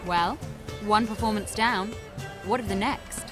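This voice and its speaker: A woman's voice: mid-range voice, unhurried, lightly acted. An actress playing a flirtatious, theatrical showwoman in a video game, coy in manner, over music. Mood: playful. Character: flirtatious, theatrical woman show performer. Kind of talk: video game character acting. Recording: loud music behind.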